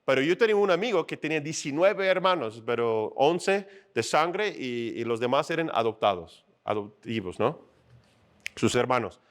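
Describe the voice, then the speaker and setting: A middle-aged man preaching a sermon to his church in a chatty, animated way, acting out short scenes and checking in with his listeners. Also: medium pitch, moderate pace. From outside Mexico. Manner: conversational, animated, acts out little scenes and asks "¿no?". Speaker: pastor. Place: church stage.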